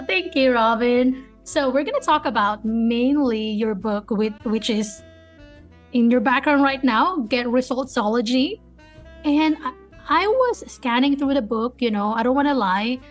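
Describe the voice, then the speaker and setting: A woman's voice: high-pitched, expressive, measured pace, soft background music. A young woman with a Filipino accent, hosting a podcast interview in a friendly, chatty way with many fillers. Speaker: podcast host.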